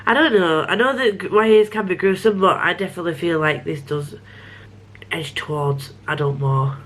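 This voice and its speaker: A woman's voice: lowish voice, normal speed, a bit bright. Chatty, sniffly woman book vlogger. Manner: chatty, self-interrupting, frequent restarts. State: a bit sniffly. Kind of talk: rambling casual vlog chat.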